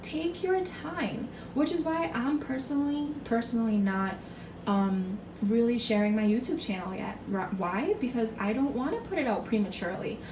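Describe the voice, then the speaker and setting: A woman's voice: a little high, moderate pace, noisy background. A woman with a froggy, strained voice giving an earnest, encouraging tarot reading in casual talk, often repeating herself. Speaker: tarot card reader. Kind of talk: casual spiritual pick-a-card reading. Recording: echoey room, far from mic, music behind. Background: music.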